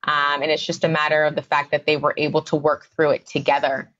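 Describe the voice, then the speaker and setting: A woman's voice: low voice, completely flat delivery, fairly quick, fairly bright tone, dull audio. A woman, a psychotherapist guest on a podcast, explaining her views in a conversational way with many fillers. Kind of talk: podcast interview.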